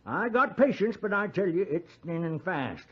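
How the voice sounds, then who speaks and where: An actor playing a wily, henpecked old American frontier settler, with a raspy, folksy rustic drawl and stammering, wheedling talk in 1950s radio Western style. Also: male voice, high-pitched, very animated, normal speed, full character voice. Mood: indignant. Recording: muffled 1950s radio recording.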